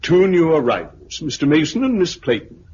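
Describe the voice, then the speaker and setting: Muffled hissy vintage radio, officious British colonel, clipped, formal, animated pitch. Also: male voice, moderate pace. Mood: officious, brisk. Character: officious, bureaucratic English colonel taking particulars. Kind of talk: 1950s British radio drama acting.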